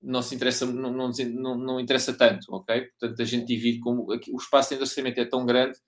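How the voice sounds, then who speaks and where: Halting Portuguese male lecturer. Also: mid-range voice, little pitch movement. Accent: European Portuguese. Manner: halting, repeats and rephrases, checks understanding often. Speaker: university lecturer. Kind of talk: informal technical lecture.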